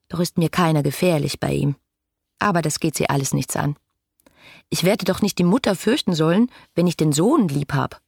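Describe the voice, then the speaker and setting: An actress playing a headstrong, lovestruck young woman in a radio play, voicing her letters with breathy, ardent intimacy. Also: lowish voice, a little mellow. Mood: defiant, longing. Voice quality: husky, breathy.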